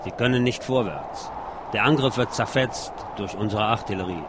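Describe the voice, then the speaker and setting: A man reads literary prose aloud in a low-pitched voice. Clear urban noise, like passing vehicles, runs behind his reading. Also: moderate pace. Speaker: reader. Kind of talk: literary reading of a war novel.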